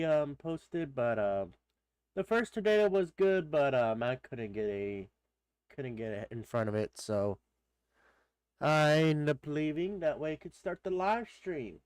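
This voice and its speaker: A man's voice: fairly high pitch, clean tone, no echo. A man with a stuffed-up nose, streaming a game live and chatting casually, greeting viewers by name and rambling with many fillers. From Texas.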